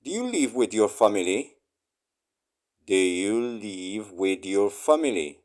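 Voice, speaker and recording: A man reading short English phrases aloud for learners, saying each one three times slowly and clearly. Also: on the low side, expressive, darkish tone.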